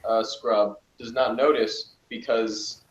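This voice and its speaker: A man chatting casually, critical and sarcastic with quick asides. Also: medium pitch, fairly even intonation, reverberant, muffled recording. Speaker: podcast co-host reviewing a TV episode. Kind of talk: casual chat.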